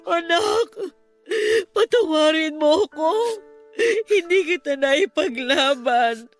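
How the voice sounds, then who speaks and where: A woman playing an anguished, loving, middle-aged mother in a Filipino radio drama, with a tearful, pleading delivery. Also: sits high. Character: anguished, loving mother reunited with her long-lost son.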